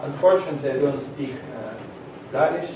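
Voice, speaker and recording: A Russian man speaking English as a second language, giving a halting seminar introduction with many pauses. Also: medium pitch, background noise. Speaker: lecturer. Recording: roomy echo from far off.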